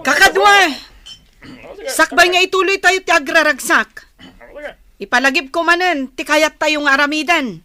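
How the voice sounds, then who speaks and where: A woman's voice: high-pitched, moderate pace, sharp tone. A woman acting a resolute leader of a rebel group in an Ilocano audio drama, declamatory and commanding. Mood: rallying, resolute.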